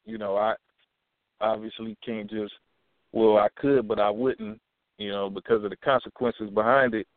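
A young man from Milwaukee talks easily as an interview guest, stopping and restarting his thoughts with lots of "you know." The sound is thin and phone-like, with no room echo at all. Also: fairly low pitch. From Milwaukee, USA. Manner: relaxed, halting with many restarts and "you know". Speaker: rapper and actor guest. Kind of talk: casual interview talk. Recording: tinny phone-like sound, dry.

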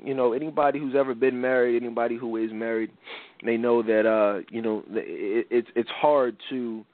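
A man's voice: mid-range voice, fairly even intonation, normal speed, no room sound. A congested male host talks loosely, restarting his sentences and filling gaps with "you know." His voice is thin and phone-like. The sound is dry, with no room around it. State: congested from a cold. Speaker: podcast host. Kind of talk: casual talk show chat.

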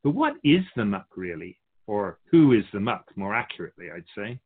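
A man playing a wise, wry otherworldly keeper of souls in a scripted dialogue, measured and teasing, fond of rhetorical questions. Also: lowish voice, big pitch swings, slightly rough, narrow, tinny audio. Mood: wry, knowing.

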